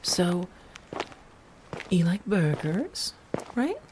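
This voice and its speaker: A low-voiced, affectionate female vampire, acted by a woman, chats softly to her roommate in an ASMR audio roleplay. She is gentle and teasing, slipping into hushed asides. Faint background noise sits behind the voice. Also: very animated, drawn-out words, heavily acted. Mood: playful, warm. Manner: gentle, chatty, teasing, with soft murmurs and breathy asides. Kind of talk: soft-spoken ASMR roleplay.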